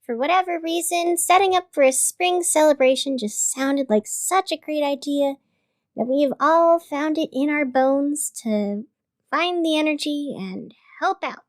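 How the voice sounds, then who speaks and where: A woman's voice: high voice, measured pace, dry recording. A woman playing a wry, chatty small-town radio host, narrating casually in a scripted audio drama over music. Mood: brightly upbeat.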